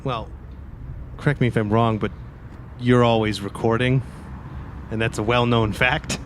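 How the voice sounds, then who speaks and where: A man's voice: medium pitch, fairly even intonation, unhurried, slight background noise, lightly acted. A man playing a sullen young man in his twenties, blunt and profane, in a tabletop roleplaying game. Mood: exasperated. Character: sullen, sweary 23-year-old man nursing an old grudge.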